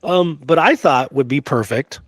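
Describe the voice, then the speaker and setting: A man co-hosting a history show in casual discussion, talking informally and haltingly with frequent asides. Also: mid-range voice, fairly bright tone, dry recording. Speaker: co-host of a history talk show.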